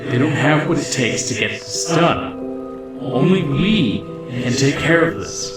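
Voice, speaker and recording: A man voicing a cold, insistent shadow double of a gunman in a tabletop game, speaking slowly and ominously. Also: mid-range voice, animated, reverberant, lightly acted. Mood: cold, menacing. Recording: music behind the voice.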